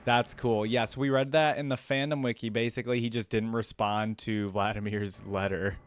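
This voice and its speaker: A man's voice: fairly low pitch, steady tone, moderate pace, a little hiss or hum. A man hosting a reaction video, commenting casually and slangily as he muses aloud into a close microphone. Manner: informal, slangy, musing aloud.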